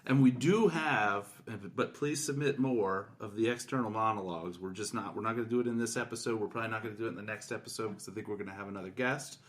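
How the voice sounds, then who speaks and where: Low-pitched middle-aged man, podcast co-host. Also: fast, hardly any pauses. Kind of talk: casual chat. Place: indoors, in person with co-hosts.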